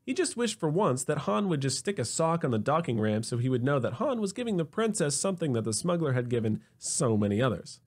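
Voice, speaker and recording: A man narrating a scripted comedic sci-fi story in an expressive, wry manner. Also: fairly high pitch, a little fast, few pauses.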